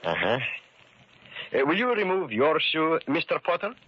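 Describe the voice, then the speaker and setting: Mild immigrant shoemaker sleuth, very lively pitch, muffled old radio recording. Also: male voice, husky, no room sound. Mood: quietly commanding. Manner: deliberate, polite, formal phrasing. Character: mild, methodical immigrant shoemaker turned amateur detective, married man. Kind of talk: old-time radio drama acting.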